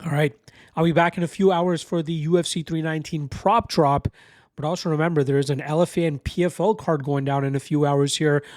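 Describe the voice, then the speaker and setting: Male betting analyst, stuffy cold, brisk and confident, slightly higher voice, dry close mic. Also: fairly even intonation, a little mellow, no room sound. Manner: brisk, confident, bettor's slang. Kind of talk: casual betting picks breakdown.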